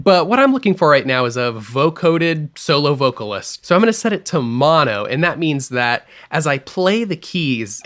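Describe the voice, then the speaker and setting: A man teaching music production in a screen-recorded tutorial, explaining each step clearly and with upbeat energy. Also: mid-range voice, a bit bright.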